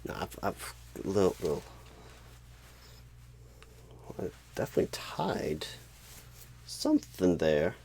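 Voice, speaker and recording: Halting, swooping, bumbling job recruiter. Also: male voice, deep voice, wildly swooping pitch, vocal fry, a little mellow, a little hiss or hum, heavily acted. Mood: flustered denial. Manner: halting, stammering, odd pauses and sudden swoops. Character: bumbling, clueless, flustered male job recruiter, celebrity impression. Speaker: ASMR roleplay performer. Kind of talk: ASMR comedy roleplay.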